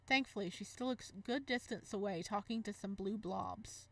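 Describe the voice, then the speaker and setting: A woman hosting a gaming video, moving between expressive story narration and casual, animated commentary. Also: medium pitch, moderate pace, no echo. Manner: animated, exclamatory asides. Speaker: gaming video host reading a visual novel.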